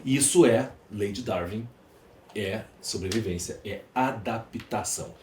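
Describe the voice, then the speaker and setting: Low-voiced Brazilian man, echoey room. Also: a little slow, darkish tone. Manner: emphatic, rhetorical, speaks in lists and questions. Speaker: podcast guest, entrepreneur. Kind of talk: conversational interview monologue. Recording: echoey room, faint background noise.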